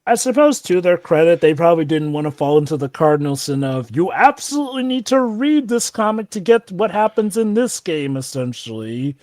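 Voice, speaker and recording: A man's voice: on the high side, very animated, brisk, few pauses, a little mellow, dry recording. A man co-hosting a read-along, giving casual, rambling and wry commentary. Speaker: co-host of a comic read-along.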